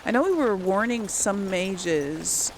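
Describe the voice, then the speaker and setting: A woman's voice: medium pitch, animated, slow pace, a little hiss or hum, poor audio. A woman hosting a casual game playthrough, thinking aloud in a hesitant, musing way. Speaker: gaming video host.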